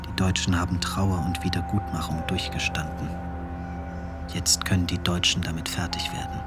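A man reciting scripted radio-play lines in a deliberate, declarative way. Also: low-pitched, flat delivery, over loud music, close to an everyday voice.

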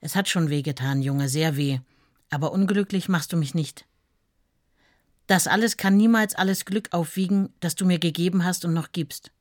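An actress playing a devoted, self-sacrificing wife in a radio play, reading letters and memories in a plain, sincere, intimate way. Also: female voice, fairly low pitch, moderate pace, no echo, studio quality, close to an everyday voice. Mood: tender, wounded.

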